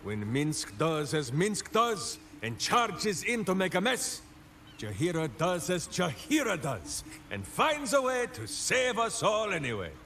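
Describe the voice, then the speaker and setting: An actor playing a hearty, earnest hulking ranger in a video game, grandly speaking of himself in the third person. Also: male voice, high voice, very animated, a little slow, bright, forceful tone, soft background music, full character voice. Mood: hearty, affectionate. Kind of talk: theatrical video game dialogue.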